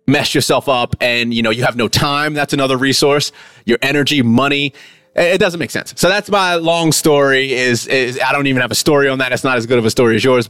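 A man's voice: medium pitch, a bit bright, no room sound. Casual man in his thirties, dry sound. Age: late 30s. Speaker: podcast host. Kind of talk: casual conversational talk.

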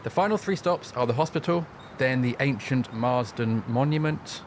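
A man's voice: mid-range voice, normal speed, a little hiss or hum, lightly acted. A man playing a helpful bus driver in an audio sketch, giving information in a matter-of-fact way. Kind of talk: acted quiz audio sketch.

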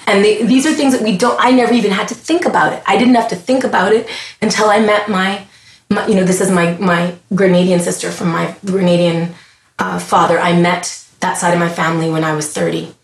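A woman's voice: lowish voice, steady tone, a little fast, roomy sound. A woman with a Canadian accent, a podcast guest talking in an earnest, impassioned way and often restarting her thoughts mid-sentence. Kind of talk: casual conversation.

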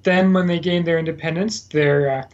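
A man's voice: fairly high pitch, steady tone, brisk. A man in casual podcast conversation, telling about his time as a Peace Corps volunteer, rambling with frequent fillers and self-corrections. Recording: dull lo-fi sound.

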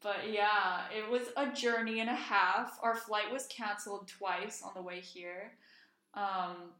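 Bright-voiced young woman in an echoey room. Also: medium pitch, steady tone, unhurried. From Iceland. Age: young adult. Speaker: podcast co-host. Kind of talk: casual chat. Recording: roomy, echoey sound.

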